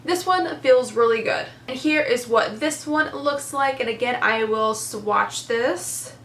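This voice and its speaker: A young woman with a congested voice chats eagerly through a makeup review, piling on "super" and "very." Her voice sits a little high. The room has a noticeable echo. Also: a little slow, fairly bright tone. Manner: chatty, enthusiastic, lots of "super" and "very". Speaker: beauty vlogger. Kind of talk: casual product review chat.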